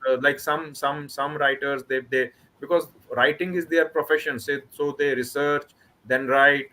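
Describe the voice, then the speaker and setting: Indian guy from kashmir, bright tense voice, keeps restarting sentences, laid-back book club chat. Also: mid-range voice, rough recording. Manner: halting, with many restarts and repeated words. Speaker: author.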